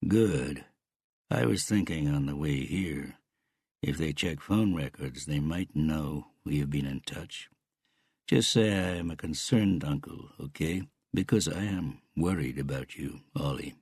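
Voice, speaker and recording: A man reading an audiobook as a controlled, quietly threatening middle-aged uncle with a Canadian accent. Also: extremely low pitch, unhurried, slightly rough, no room sound, clean studio sound, heavily acted. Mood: cautious, smooth-talking.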